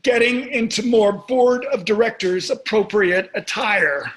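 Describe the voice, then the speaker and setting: A man's voice: high-pitched, unhurried, a bit bright. Man playing a sarcastic, callous rich husband. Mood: irritated. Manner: sarcastic, dismissive. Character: wealthy, cynical, callous, golf-loving husband. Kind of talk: staged play reading, marital argument.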